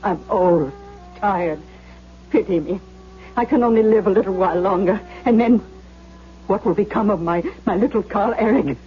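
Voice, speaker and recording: An actress playing a frail, obsequious old cleaning woman, a poor and desperate mother who speaks haltingly and imploringly in 1970s radio drama. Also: mid-range voice, lo-fi sound, faint music behind, natural voice. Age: older.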